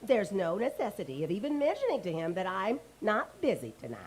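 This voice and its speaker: A woman's voice: mid-range voice, very animated, brisk, close to an everyday voice. A woman playing a coy, fluttery elderly landlady who exclaims and protests in a radio comedy. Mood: coy hinting. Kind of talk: old-time radio comedy acting.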